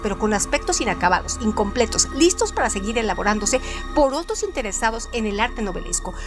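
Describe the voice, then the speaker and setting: High, lively woman reading over music. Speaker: host reading trivia segment. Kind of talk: scripted reading. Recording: music playing underneath. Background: background music.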